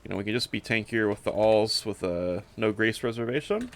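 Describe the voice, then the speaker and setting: A man's voice: fairly low pitch, a little fast. A man giving casual gameplay commentary as a streamer, offhand and a little hesitant, thinking aloud as he goes. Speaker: streamer showing a game character build.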